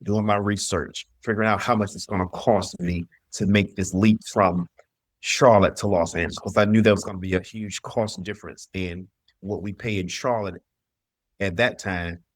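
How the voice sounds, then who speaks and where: A middle-aged man from the southern US shares his story in an interview. His voice sits on the low side, recorded very dry. He talks emphatically, repeating phrases and quoting his own past thinking. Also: fairly even intonation, moderate pace, dry recording. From southern US (Mississippi, North Carolina). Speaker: interview guest, entrepreneur.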